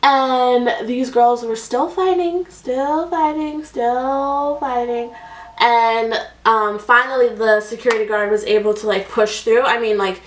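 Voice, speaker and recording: A woman's voice: fairly high pitch, slow. A woman in her twenties telling a story in a rambling, casual way, filled with "like" and "um", as she does her makeup. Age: 20s. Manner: chatty, rambling, many "like"s and fillers. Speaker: beauty vlogger. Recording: echoey room, voice a bit distant.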